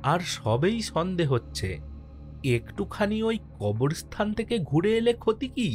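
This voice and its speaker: A man's voice: sits high, sing-song, measured pace, faint music behind. An actor playing a jittery postmaster who narrates his own haunting in a suspenseful, theatrical horror cartoon style.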